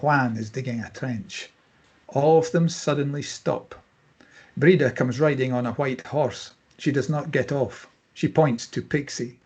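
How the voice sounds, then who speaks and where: Husky man reading stage directions. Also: medium pitch, unhurried, a little mellow. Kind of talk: scripted narration at a screenplay table read.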